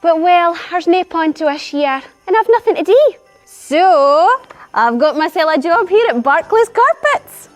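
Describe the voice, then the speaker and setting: A woman's voice: extremely high pitch, animated, a little slow, soft background music. A woman playing a wry, chirpy genie of the lamp in a pantomime, with a North-East Scottish accent. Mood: chirpy, rueful. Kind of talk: comic pantomime monologue to the audience.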